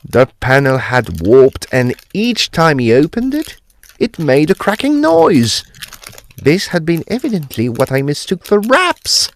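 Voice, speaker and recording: An actor playing an earnest Edwardian gentleman, recounting a mystery in formal, emphatic phrases for an audio drama. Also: fairly high pitch, huge pitch swings, a little slow, full character voice. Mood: measured explaining. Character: earnest, animated Edwardian English gentleman telling a ghost mystery. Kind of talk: period audio drama storytelling.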